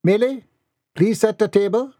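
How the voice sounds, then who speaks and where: A man's voice: a little high, moderate pace, a little mellow, dry recording, studio quality, voice pushed up. A man voicing an anxious but authoritative woman housekeeper in a story. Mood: commanding. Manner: commanding, grave.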